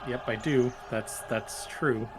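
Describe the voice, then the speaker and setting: Very slow-spoken man at a game table. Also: medium pitch. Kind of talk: casual game chat. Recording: clear background noise, ambience.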